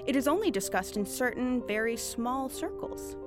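A cunning, well-read thief in a period audio drama , acted by a woman. Her voice sits fairly high, with clear music underneath. She speaks in articulate, mock-courteous sentences, teasing and entirely sure of herself. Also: lively intonation, moderate pace, natural voice. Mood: sly, explanatory. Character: cunning, bookish woman thief posing as a witch.

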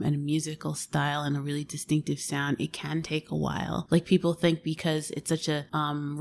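A woman's voice: sits low, gravelly, slight background noise. A woman, a singer, chatting thoughtfully in an interview, often restarting her sentences. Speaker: singer and guest. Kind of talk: casual interview chat.

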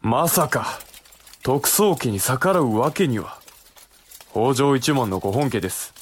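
A young samurai in a Japanese period audio drama. His pitch is average, and he speaks politely and earnestly, reasoning with care like a cautious adviser. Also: lively intonation, unhurried, slightly rough. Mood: earnest, cautioning. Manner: polite, explanatory.

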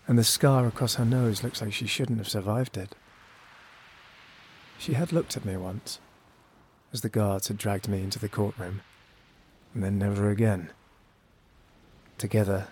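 Low, wry disgraced nobleman, played by an actor. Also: darkish tone, slight background noise, natural voice. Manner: theatrical, literary, sardonic storytelling. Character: cunning, disgraced young noble heir on trial, wry and bitter. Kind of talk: first-person narration in a high fantasy audio drama.

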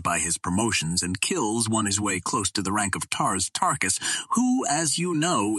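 A man's voice: lowish voice, a bit bright, breathy edge, no room sound. A man voicing a dutiful female Martian attendant in an audiobook, speaking formally and earnestly as she explains. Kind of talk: audiobook character reading.

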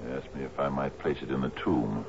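An actor playing a troubled, grieving sea captain in a 1970s radio drama, speaking formally and haltingly. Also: male voice, extremely low pitch. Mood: regretful. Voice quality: gravelly. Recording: muffled, noisy old radio broadcast.

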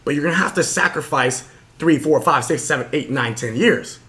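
A man's voice: fairly high pitch, fairly bright tone. A young man hosting a podcast, telling a motivational story in a casual, earnest way with lots of fillers. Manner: fast, earnest, full of fillers. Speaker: podcast host.

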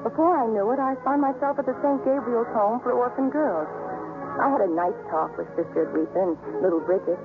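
An actress playing a brisk, chatty, opinionated newspaper columnist in a 1950s radio drama. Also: female voice, fairly high pitch, natural voice. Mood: wry narrating. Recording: muffled 1950s radio broadcast, music behind.

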